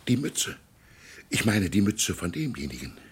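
A man playing a mild, reflective village pastor in a literary radio drama, speaking in a measured, formal way. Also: fairly low pitch, very animated, slightly rough, exaggerated voice. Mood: questioning.

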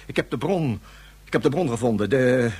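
Husky, flustered police inspector. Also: male voice, medium pitch, lively intonation, moderate pace, darkish tone. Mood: nervous, stammering. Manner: hesitant, stammering, repeating himself. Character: dim, skeptical male police inspector, easily flustered, placating. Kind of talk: science fiction radio play acting.

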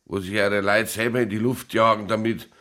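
Male actor as middle-aged Bavarian pub regular, low voice, dry, needling, dialect, radio crime drama. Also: flat delivery, moderate pace, fairly bright tone, lightly acted. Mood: probing. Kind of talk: naturalistic German radio crime drama acting.

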